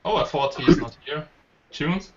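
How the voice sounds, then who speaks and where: A man's voice: a little high, measured pace. A man hosting a casual online group chat about tech, speaking conversationally as the host. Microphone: online voice call. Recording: muffled online call, faint noise.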